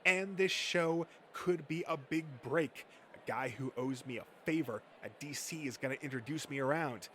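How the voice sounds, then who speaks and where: A man's voice: a little high, moderate pace, few pauses, fairly bright tone, natural voice. Man playing a smug comic nerd, noisy recording. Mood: scheming, bitter. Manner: smug, self-important, swearing rant. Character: Josh, boastful, thin-skinned male comic-book nerd scheming for success. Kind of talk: amateur comic-book dub acting.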